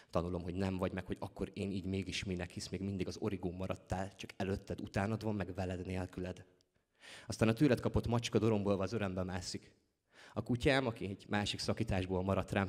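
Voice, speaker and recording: A man in his thirties performs slam poetry on stage, with a dramatic, rhetorical delivery full of deliberate pauses and swells. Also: sits low. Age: 30s. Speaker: slam poet. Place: on stage at a live slam poetry championship in a theatre.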